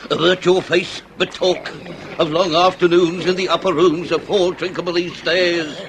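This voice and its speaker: An actor playing a middle-aged Victorian police inspector, pompous and grandiloquent, in a period radio comedy drama. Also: male voice, high-pitched, fairly even intonation, a crowd in the background, low-quality recording. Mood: scornful, commanding. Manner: grandiloquent, deliberate, commanding.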